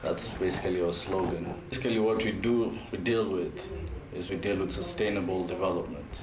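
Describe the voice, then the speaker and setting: A man, explaining his organization's work in a deliberate way and defining terms as he goes. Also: low-pitched, steady tone, roomy sound, recorded from a distance, crowd noise, low-quality recording. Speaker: organization spokesman. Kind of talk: interview explanation.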